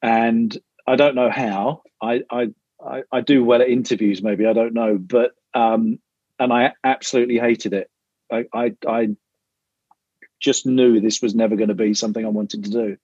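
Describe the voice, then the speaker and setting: A man from London with a London accent, a podcast guest telling his story casually, often restarting and pausing. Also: medium pitch, fairly even intonation, darkish tone, breathy edge, no room sound, muffled recording. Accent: London English. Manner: hesitant, many restarts. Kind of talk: casual interview.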